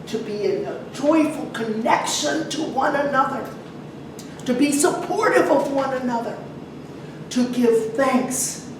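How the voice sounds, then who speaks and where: A woman preaching a sermon to a congregation in a conversational way, putting questions to her listeners and pausing for emphasis. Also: high voice. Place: large echoey church room. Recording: very echoey, distant mic, some noise.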